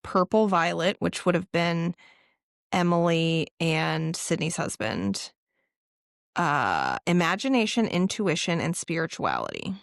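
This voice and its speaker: A young woman co-hosting a podcast, telling a story in an animated, casual way with laughs. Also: lowish voice, a little slow, gravelly, no echo, clean studio sound. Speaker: podcast co-host. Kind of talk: casual chat.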